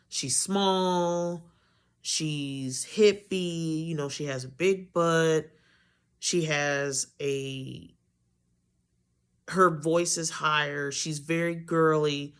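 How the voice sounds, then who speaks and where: A young woman with a deep voice, talking candidly to camera in a rambling way, often losing her train of thought and correcting herself. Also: a bit bright. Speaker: vlogger. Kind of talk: candid personal talk to camera.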